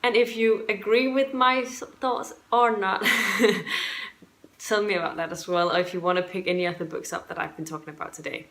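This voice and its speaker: A woman's voice: mid-range voice, level tone, brisk, fairly bright tone. A woman from Denmark with a Danish accent, giving a casual book review to viewers in a hesitant, rambling, self-correcting way. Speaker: book reviewer and video host.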